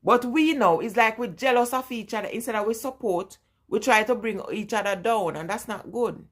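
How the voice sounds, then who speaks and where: A woman's voice: fairly high pitch, normal speed. A woman in her forties from Jamaica, with a Jamaican accent, chatting casually with live-stream viewers and repeating her points with emphasis. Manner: emphatic and repetitive, talking straight to viewers. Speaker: vlogger.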